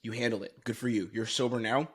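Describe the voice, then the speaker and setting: Very fast-talking man coaching sales. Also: mid-range voice, steady tone, slightly rough. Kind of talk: explanatory commentary on a recorded sales call.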